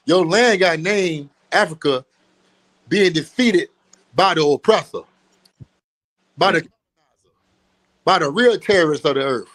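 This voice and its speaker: An emphatic man lecturing with a slightly high-pitched voice. He talks in a preachy way, repeats his phrases for weight and laughs often between points. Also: animated, takes it slowly, long pauses, fairly bright tone. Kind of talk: street-teaching talk.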